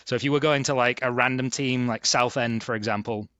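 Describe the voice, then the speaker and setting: A man's voice: medium pitch, steady tone, brisk, a bit bright, clean tone, no echo, dull audio. A young man from Hull with a Yorkshire accent, a podcast guest telling stories about football in an easygoing, self-deprecating way. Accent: Yorkshire English. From England, Hull in East Yorkshire. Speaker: guest, club group sales manager. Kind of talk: conversational podcast interview.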